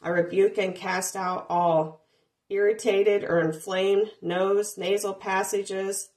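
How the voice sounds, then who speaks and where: A woman leads a deliverance prayer in a steady, commanding cadence. She rolls through a long repetitive litany, each phrase firm and even, in a voice of ordinary middle pitch. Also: slow pace. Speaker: deliverance minister.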